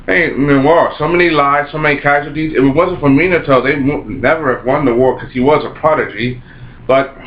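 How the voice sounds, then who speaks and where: A man with a raspy voice and a sore throat, rambling through a casual episode review, repeating himself and restarting sentences. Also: a little high. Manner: rambling, repeats himself, many false starts. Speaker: anime reviewer. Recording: roomy, dull lo-fi home recording.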